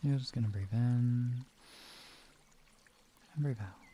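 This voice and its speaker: A man streaming guided relaxation and talking to viewers in a soothing, gentle, instructional manner. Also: medium pitch, slow pace, a little hiss or hum.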